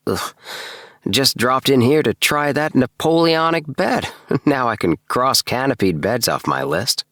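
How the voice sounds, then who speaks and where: A man voicing an eager, excitable house-obsessed gentleman with a breathless, effusive tenor in a storybook reading. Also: mid-range voice, a little slow, no room sound, studio quality, pitched above the performer's own voice. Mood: hurried, breezy. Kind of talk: storybook character dialogue.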